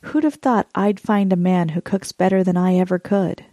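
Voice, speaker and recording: A woman reading a poem as a measured first-person monologue. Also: lowish voice, dark tone, no echo, clean studio sound. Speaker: poetry reader.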